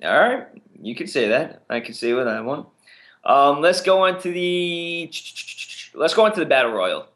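A man co-hosting a casual podcast, chatting and making predictions in a relaxed way with frequent fillers. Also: fairly high pitch, moderate pace. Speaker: podcast co-host. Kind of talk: casual wrestling podcast chat.

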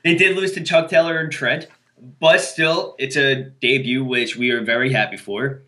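A man's voice: mid-range voice, fairly even intonation, moderate pace, bright, forceful tone. A young man co-hosting a casual wrestling podcast, chatty and self-mocking, running through results with frequent restarts. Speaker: podcast co-host.

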